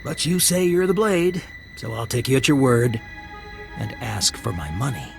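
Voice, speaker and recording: Sly, swooping, taunting crook. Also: male voice, medium pitch, animated, gravelly, soft background music. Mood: smug, threatening. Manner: mock-friendly, needling, drawn-out emphasis. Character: sly, taunting small-time underworld blackmailer. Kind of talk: video game dialogue.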